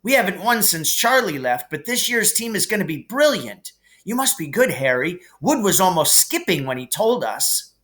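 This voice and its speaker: Man voicing excited, high-pitched teenage schoolboy. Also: moderate pace. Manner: excited, breezy, eager. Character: cheerful, boisterous teenage schoolboy twins.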